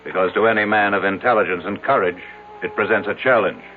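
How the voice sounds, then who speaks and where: A man's voice: lowish voice, fairly even intonation, fast talker, natural voice. An actor playing a proud, demanding wealthy businessman in a 1970s radio drama, insistent and accusing. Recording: tinny old radio recording, faint music.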